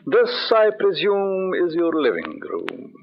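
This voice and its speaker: Slow, suave, jealous doctor. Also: male voice, sits high, wildly swooping pitch, gravelly, heavily acted. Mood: silkily probing. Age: middle-aged. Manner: measured, silkily polite, insinuating. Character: suave, suspicious, jealous middle-aged doctor husband. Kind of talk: old-time radio drama acting. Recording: muffled old radio recording.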